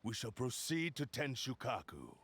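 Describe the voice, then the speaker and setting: A man voicing a proud, bitter spirit of a cursed blade in a video game, formal and menacing, with a gravelly voice. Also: huge pitch swings, moderate pace, fairly bright tone, a little hiss or hum. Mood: haughty. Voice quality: gravelly, low growl. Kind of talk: English video game voice acting.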